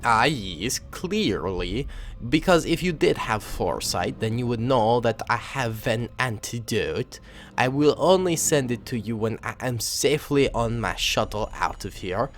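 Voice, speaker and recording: A man voicing a smug, scheming scientist in a storybook war tale, with a put-on foreign accent and drawn-out, mocking delivery. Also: mid-range voice, lively intonation, faint music behind, pitched below the performer's own voice. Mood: smug, taunting. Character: scheming, smug male scientist defecting from his dark lord.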